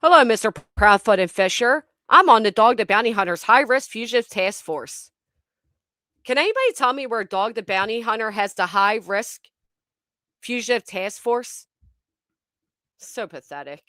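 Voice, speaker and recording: A woman's voice: a little high, expressive, normal speed, bright, forceful tone, no room sound, studio quality. A woman hosting a commentary stream, talking bluntly and scornfully and swearing freely. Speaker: commentary channel host. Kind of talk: casual reaction commentary.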